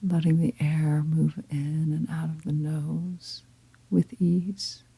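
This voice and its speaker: Low, husky, half-whispering woman guiding meditation. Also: no echo. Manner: calm, gentle, unhurried with long pauses. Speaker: meditation guide. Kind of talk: guided meditation, slow soft-spoken instruction.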